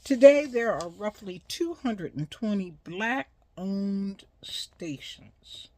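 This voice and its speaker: A woman over sixty hosting an informal educational monologue, speaking in a deliberate, halting way with emphatic pauses. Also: mid-range voice, animated, warm tone. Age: 60s or older. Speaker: host.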